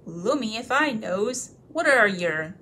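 A woman voicing a coarse male troll, rough and bullying. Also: medium pitch, animated, slow pace, bright, forceful tone. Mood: gloating.

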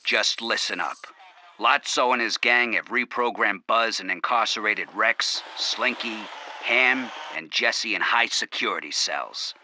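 An actor voicing a brusque toy informant giving a secretive briefing in an animated video game. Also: male voice, fairly low pitch, measured pace, slight background noise. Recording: game sound effects and insect ambience behind.

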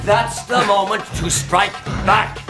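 An actor playing a stern, earnest uncle who instructs young knights emphatically in a radio drama. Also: male voice, high voice, fairly even intonation, takes it slowly, loud music behind the voice. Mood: urgent, commanding.

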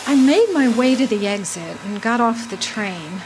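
An actress playing a bewildered woman stranded alone on a journey, telling her story in a measured radio drama monologue. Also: fairly high pitch, expressive, a little mellow, a little hiss or hum, low-quality recording. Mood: bewildered, reflective.

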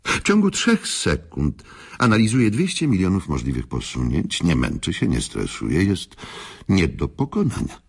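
An actor playing a courtly, scheming older man in a radio drama, speaking in old-fashioned, theatrical phrases with teasing pauses. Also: low voice, wildly swooping pitch, vocal fry, husky, full character voice. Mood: pleased, intriguing.

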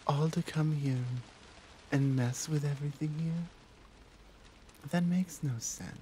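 A woman playing a caring, conflicted tigress monk in a soft, intimate ASMR roleplay, speaking gently and hesitantly with earnest pauses. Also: deep voice, a little hiss or hum. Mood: reassuring.

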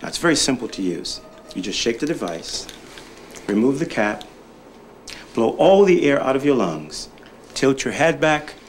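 Casting: male actor as middle-aged doctor, mid-pitched, measured, reassuring, educational video. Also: very animated, a little hiss or hum, lightly acted. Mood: calm, reassuring. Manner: measured, instructive. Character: calm, reassuring family doctor explaining asthma. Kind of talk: scripted educational explanation.

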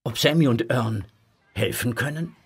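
A man's voice: mid-range voice, moderate pace, vocal fry, a little hiss or hum. A man narrating a children's audio story with dramatic, scripted storytelling.

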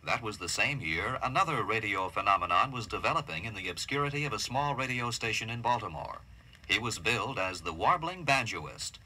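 A man's voice: lowish voice, expressive, fairly quick, sharp tone, slightly rough, poor audio. A man reading scripted documentary narration.

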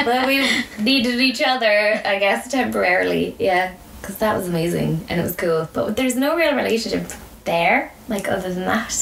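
An Irish woman talks casually on a podcast, slipping into imagined quotes as she goes. Her pitch is average, and she sounds a little away from the mic in an echoey room with faint noise. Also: moderate pace, gravelly, a bit bright. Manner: chatty, often acts out imagined quotes. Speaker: podcast guest, community founder. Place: small indoor room with beanbags. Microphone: desk microphone. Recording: roomy, slightly distant, faint noise.

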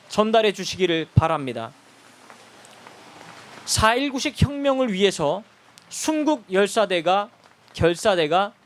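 A man giving a formal, exhortatory rally announcement, speaking solemnly and emphatically in short, deliberate phrases. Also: sits high.

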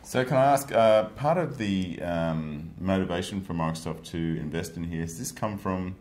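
Man, very deep voice, interview host, faint hiss. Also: normal speed, darkish tone. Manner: brief, agreeable interjections. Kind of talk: studio interview. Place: virtual news-style studio set.

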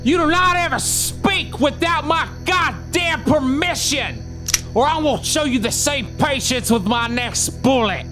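A man's voice: very high voice, a little slow. An actor playing a hot-tempered, profane outlaw who threatens his hostages. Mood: menacing. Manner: threatening, profane, bossy drawl. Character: menacing Southern outlaw man, hot-tempered kidnapper. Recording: background music under the dialogue.

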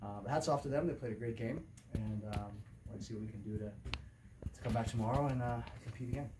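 A man's voice: mid-range voice, fairly quick. A middle-aged man, a volleyball coach, answering questions at a post-game press conference in an indoor room. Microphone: distant camera microphone. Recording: distant camera pickup with clear room noise.

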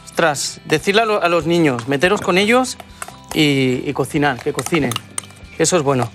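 A man's voice: fairly high pitch, lively intonation, normal speed, music in the background. A man, hosting a cooking show and chatting enthusiastically as he cooks. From Spain. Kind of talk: cooking demonstration. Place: TV studio kitchen set.

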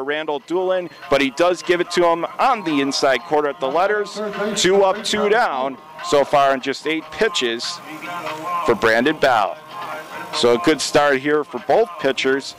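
An American man calling baseball play-by-play for radio from a stadium press box, in a measured, descriptive way with plenty of detail. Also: a little high, fairly bright tone. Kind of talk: live sports radio play-by-play commentary.

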